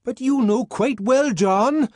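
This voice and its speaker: A man voicing an anxious pleading man who shouts and wails through a locked door, in an audiobook. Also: very high voice, slow, no room sound, clean studio sound, voice pushed up, full character voice. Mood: pleading, wailing. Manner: wheedling, wailing at the top of his voice. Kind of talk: audiobook character voice.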